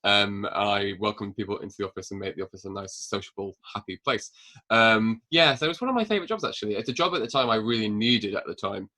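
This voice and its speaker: A man from northern England hosting a podcast, chatty and teasing his guest with quick jokes. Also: fairly low pitch, animated, pushed and bright. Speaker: podcast host. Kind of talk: casual interview chat.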